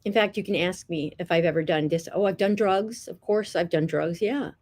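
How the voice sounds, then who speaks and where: Earnest, chatty, low-voiced woman. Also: normal speed, a little mellow. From New Jersey. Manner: earnest, chatty, repeats herself with many "um"s. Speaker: vlogger. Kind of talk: casual testimony to camera.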